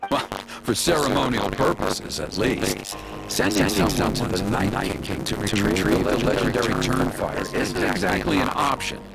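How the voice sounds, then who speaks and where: Animated, world-weary tribesman. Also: on the low side, unhurried, vocal fry, loud music behind the voice, low-quality recording. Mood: weary, explanatory. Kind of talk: video game voice acting.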